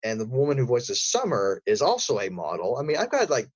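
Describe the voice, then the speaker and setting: A man speaking as a podcast guest, telling stories about creative work in a casual interview style with an animated manner. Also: medium pitch, a little fast, slightly rough, no echo, dull audio. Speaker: podcast guest, voice actor and audio drama creator. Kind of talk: casual interview chat, storytelling.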